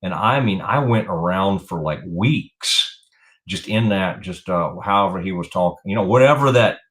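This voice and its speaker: A man's voice: sits low, animated, moderate pace, fairly bright tone. A middle-aged man with a Texan accent hosting a sports talk show, rambling casually with lots of fillers. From Texas. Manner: rambling, many fillers and restarts. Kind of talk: casual radio sports chat.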